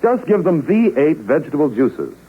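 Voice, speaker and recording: Persuasive old radio ad announcer. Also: male voice, big pitch swings, normal speed. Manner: smooth persuasive pitch. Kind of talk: 1940s radio ad read. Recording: tinny old radio broadcast.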